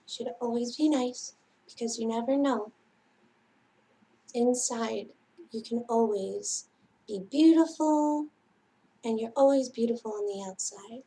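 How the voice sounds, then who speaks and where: Woman, children's storyteller, high voice, unhurried slow pace, sing-song, talking straight to little kids. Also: warm tone, rough recording. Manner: sing-song, addressing young children directly. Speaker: children's library storyteller and host. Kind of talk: children's story time with singing.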